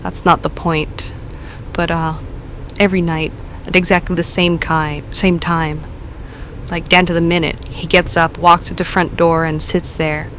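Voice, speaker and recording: An actress playing a nervous woman caller who talks in a hesitant, halting, self-deprecating way. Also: fairly low pitch, flat delivery, a bit bright, slight background noise, rough recording. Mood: uneasy.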